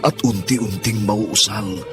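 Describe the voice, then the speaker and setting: A man's voice: on the low side, slow pace. A man with a Filipino accent narrating a radio drama in a grandiose, solemn, scripted style, drawing out the titles. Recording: music under the voice.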